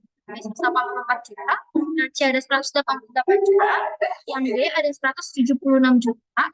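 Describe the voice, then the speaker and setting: A woman's voice: high voice, a bit bright, dry recording, rough recording. A young woman, a student presenting and explaining exercise answers. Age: young adult.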